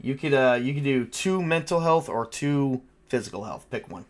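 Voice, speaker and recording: A man's voice: medium pitch, expressive, normal speed. A man casually narrating a tabletop role-playing game as game master, improvising and thinking aloud with some hesitation.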